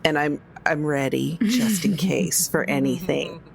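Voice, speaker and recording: A woman's voice: low voice, expressive, unhurried, gravelly. A woman taking part as a player in a tabletop roleplaying game, talking casually about her character's actions.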